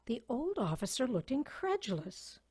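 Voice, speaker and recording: A woman's voice: fairly high pitch, very animated, normal speed, darkish tone, breathy edge. A woman reading scripted narration for a dramatic science fiction story.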